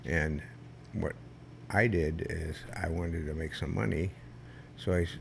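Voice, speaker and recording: Older guy rambling through old stories, loud carrying voice, gravelly and low. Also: fairly even intonation, a little hiss or hum. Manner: rambling, halting, with many restarts; loud voice that carries. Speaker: guest, Vietnam veteran. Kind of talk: casual storytelling interview.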